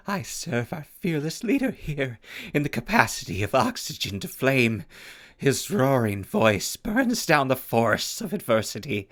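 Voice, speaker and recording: A man's voice: high-pitched, exaggerated voice. A man voicing a fawning, manic pyromaniac clown in an audio drama, declaiming in a grandiose, florid way. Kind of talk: post-apocalyptic audio drama acting.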